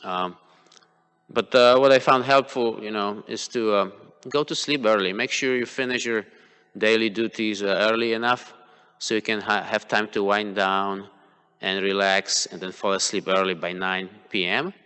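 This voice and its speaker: Polish-native guy giving a temple talk, lots of uhs and restarts, bright clear voice, big hall echo. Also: on the low side, fairly even intonation, moderate pace. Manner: hesitant, many fillers and restarts. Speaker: temple class speaker. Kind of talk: devotional class talk, personal examples. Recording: long hall reverb.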